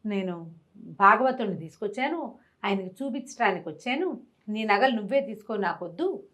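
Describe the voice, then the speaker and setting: A woman's voice: mid-range voice, expressive, normal speed, fairly bright tone, lightly acted. A woman voicing a simple, awestruck young male thief who talks in eager, wondering bursts in a told story. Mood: earnest.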